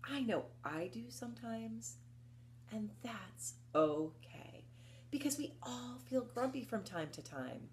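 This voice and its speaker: A woman presents a story time for small children in an expressive, encouraging way. She speaks slowly and deliberately, with a very lively melody that rises and dips as she reads rhymes and picture books and asks the listeners questions. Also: fairly high pitch, a little hiss or hum. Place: indoors, small book-lined room at home.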